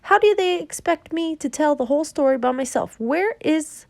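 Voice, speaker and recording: Fussy storybook hen, put on by a woman reading aloud, shrill and high, complaining loudly, dry close sound. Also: lively intonation, moderate pace, gravelly, no room sound. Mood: indignant. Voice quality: shrill, indignant.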